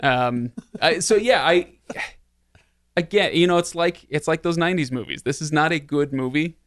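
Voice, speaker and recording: A man with a smooth, clear voice chats casually as a podcast co-host. He hesitates and corrects himself, recorded close with almost no room sound. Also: fairly high pitch, moderate pace, a bit bright, dry recording. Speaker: podcast co-host discussing a film. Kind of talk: casual chat.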